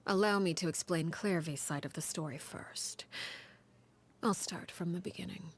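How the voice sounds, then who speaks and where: An actress voicing a cold, composed woman in a game cutscene, recounting a grim past in a measured, grave half-whisper. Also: lowish voice, slight background noise, lightly acted. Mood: grave, calm. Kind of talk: game story cutscene dialogue.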